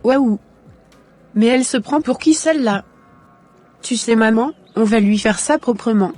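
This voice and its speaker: Woman playing a high-voiced scheming teenage girl. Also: measured pace, darkish tone, soft background music. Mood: indignant, scheming. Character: scheming, indignant teenage daughter urging her mother on. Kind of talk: animated moral drama dialogue.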